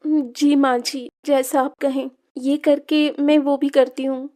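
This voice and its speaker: A woman's voice: high-pitched, flat delivery, slow, mellow. A woman voicing a meek, sorrowful stepdaughter in her early twenties in a Hindi moral-story cartoon, submissive and pleading. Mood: sad, submissive. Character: meek, sorrowful 22-year-old mistreated stepdaughter.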